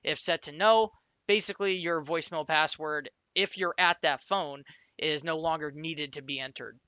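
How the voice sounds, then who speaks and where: A man's voice: a little high, normal speed, pushed and bright, smooth, no room sound, muffled recording. A man giving an informal, explanatory step-by-step screencast tutorial in a conversational way, as an instructor.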